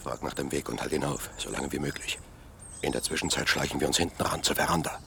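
A man playing a gruff, bossy character who mutters and commands in a German radio drama. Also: extremely low pitch, fast, bright, forceful tone, slight background noise, heavily acted. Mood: commanding.

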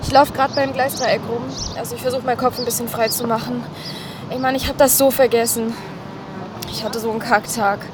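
A woman acting a high-voiced, hesitant young woman. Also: fairly even intonation, a little fast, background noise, natural voice. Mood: flustered. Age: young adult. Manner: hesitant, colloquial, mumbled asides. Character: troubled, stubborn young woman DJ, withdrawn and sarcastic. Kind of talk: naturalistic audio drama dialogue.

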